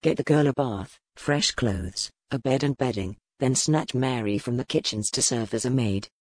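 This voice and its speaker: Stern lordly duke guy, low and firm, dry close sound, a woman putting him on. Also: moderate pace, a little mellow, dry recording. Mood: commanding. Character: stern commanding male duke, hard master. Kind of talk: audiobook character voice.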